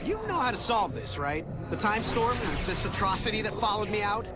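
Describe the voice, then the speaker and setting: An actor playing a young wisecracking superhero in a video game, with a sarcastic, anxious, expressive delivery. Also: male voice, sits high, a little fast. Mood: quippy, alarmed. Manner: quippy, expressive, exasperated. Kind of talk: video game cutscene acting. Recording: loud music and action behind voice.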